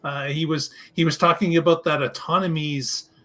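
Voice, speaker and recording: A man co-hosting a discussion show, giving commentary in halting, deliberate phrases. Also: a little high, fairly even intonation, bright, forceful tone. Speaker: podcast co-host.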